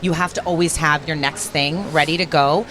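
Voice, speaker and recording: Woman, Canadian accent, thirties, low voice, chatty radio host, upbeat interview talk. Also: steady tone, moderate pace, noisy background. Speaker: radio host and program director. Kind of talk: casual interview chat.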